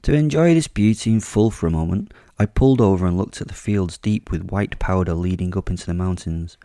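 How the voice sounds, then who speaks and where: A man's voice: low voice, fairly quick, warm tone, no echo, studio quality. A man hosting his podcast and telling a first-person horror story in an offhand, chatty way, swearing casually and joking darkly. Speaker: podcast host and narrator.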